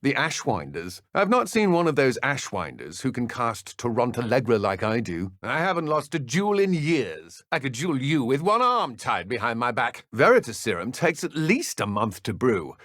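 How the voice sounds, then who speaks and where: An actor voicing a callous, arrogant dark wizard henchman in a video game, with a British accent and a haughty, sardonic manner. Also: mid-range voice, very animated, normal speed, few pauses, no room sound, clean studio sound. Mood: boastful. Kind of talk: video game villain dialogue.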